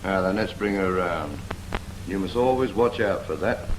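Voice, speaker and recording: A man playing a patient, brisk Royal Navy instructor in a 1940s British training film, firm and fond of prompting with questions. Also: deep voice, natural voice. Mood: instructive. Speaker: naval steering instructor. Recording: noisy 1940s film soundtrack.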